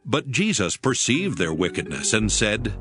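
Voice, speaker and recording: A man's voice: fairly low pitch, very animated, unhurried, music in the background. A man narrating scripture from a script for an audio drama.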